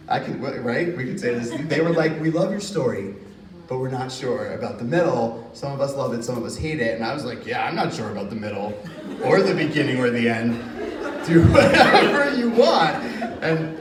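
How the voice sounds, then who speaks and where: A man from New Jersey tells stories at a university reading, laughing easily and throwing his voice into the lines he quotes. His voice sits at an ordinary middle pitch. It reaches the mic from some distance, with room echo and a faint hum of ambience around it. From Hudson County, New Jersey. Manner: lively, laughing anecdotes with acted-out quotes. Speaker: author at a reading. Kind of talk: casual storytelling in a Q&A. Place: university reading event hall.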